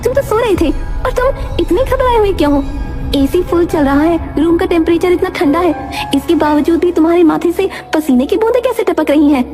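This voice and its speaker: A woman voicing a brisk, reassuring young city wife in a horror audio story, lightly chiding, over music. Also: very high voice, expressive, voice pushed up. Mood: concerned questioning. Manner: chiding, matter-of-fact. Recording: very loud music under the voice.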